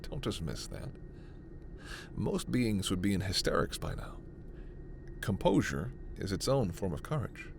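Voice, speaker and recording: A man playing a gentle, earnest alien scientist in a sci-fi ASMR roleplay, speaking in a measured, hesitant, soft way. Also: deep voice, big pitch swings, gravelly, background noise, full character voice. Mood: soothing. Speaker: voice actor.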